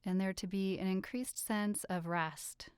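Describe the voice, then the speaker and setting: A woman leading a guided relaxation meditation with soothing, gentle instructions and unhurried pauses. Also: mid-range voice, fairly even intonation, smooth, dry recording, studio quality. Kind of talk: guided meditation, yoga nidra.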